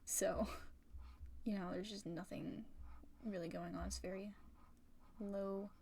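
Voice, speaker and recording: A young woman chatting casually and rambling on a livestream while drawing, swearing freely, her voice a little rough and raspy from talking for a long time. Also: mid-range voice, fairly even intonation, takes it slowly, warm tone, slight background noise. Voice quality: slightly raspy. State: tired, sore throat from long talking. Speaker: art streamer.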